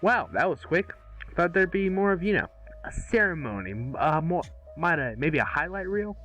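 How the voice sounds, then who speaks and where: A man's voice: fairly high pitch, very animated, normal speed, sharp tone, soft background music. A man reading the part of a cocky, glib billionaire playboy in an amateur table read, flippant and rambling, sometimes stumbling over big words.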